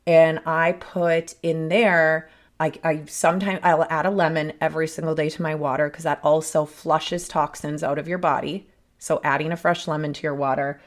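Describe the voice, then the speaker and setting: A woman in her thirties talks through her podcast in a chatty, upbeat way, often breaking off mid-thought. Her voice sits a little low and is raspy, roughened further by a cold. She speaks into a desk mic. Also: little pitch movement, moderate pace. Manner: chatty, self-interrupting, upbeat. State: sick, a bit hoarse. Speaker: podcast host. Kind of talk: casual solo podcast talk.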